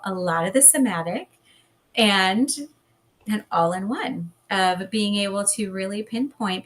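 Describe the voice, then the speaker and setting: A woman, a hypnotherapist, leading a guided hypnosis and then chatting as a guest in a calm, gentle way. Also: mid-range voice. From United States. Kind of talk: guided hypnosis then casual interview chat.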